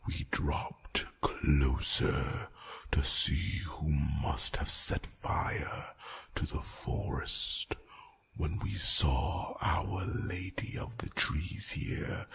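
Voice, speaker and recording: A man playing a grave, slightly grumpy old dragon in a fantasy roleplay audio drama, speaking slowly and solemnly in a breathy rasp. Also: very deep voice, in a whisper, exaggerated voice. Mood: reflective storytelling. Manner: slow, halting, solemn delivery. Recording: loud noise bed of night crickets.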